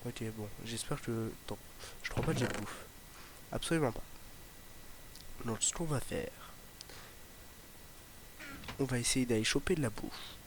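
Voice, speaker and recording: A man's voice: mid-range voice, measured pace, long pauses. A young man with a slight Ardèche accent, chatting casually over his gameplay and rambling with many fillers and restarts. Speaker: gaming YouTuber. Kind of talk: casual Let's Play commentary. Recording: noisy home mic recording.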